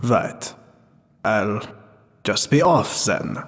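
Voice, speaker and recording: A man's voice: lowish voice, animated, extremely slow. A man playing a self-serving, callous brigand in a fantasy game, defensive and matter-of-fact about his crimes. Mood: nervous, indignant. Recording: long hall reverb, noisy clicks.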